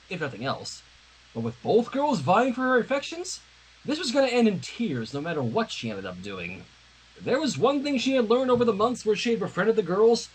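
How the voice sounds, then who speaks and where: A man reading fan fiction aloud on a livestream in an expressive, dramatic way, with casual asides. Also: sits high, slightly rough, rough recording. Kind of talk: animated story narration on a livestream.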